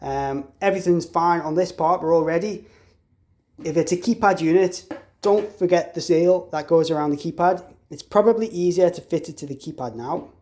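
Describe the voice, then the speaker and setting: A man with a slightly higher-than-average voice walks through a hands-on installation tutorial. He explains in an informal, practical way, like an installer showing a product. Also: moderate pace, a little mellow. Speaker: installer demonstrating an intercom product.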